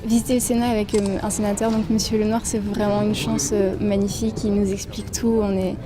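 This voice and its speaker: A woman's voice: mid-range voice, flat delivery, brisk, a crowd in the background. A woman aged twenty-one, an apprentice craftswoman, speaking informally in an interview. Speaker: apprentice marquetry craftswoman, award winner. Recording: music playing behind the voice. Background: background music.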